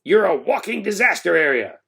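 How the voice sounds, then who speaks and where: A man's voice: sits high, big pitch swings, normal speed, gravelly, pushed and bright, pitched above the performer's own voice, full character voice. A man voicing a furious, pompous school principal who snaps indignantly in a children's storybook reading. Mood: exasperated, scolding. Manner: indignant, snapping, emphatic outbursts. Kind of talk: children's storybook read-aloud.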